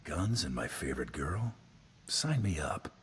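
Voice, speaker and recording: An actor voicing a gruff, laconic male gunslinger in a space video game, dry and flirtatious. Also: extremely low pitch, big pitch swings, unhurried, slight background noise, full character voice.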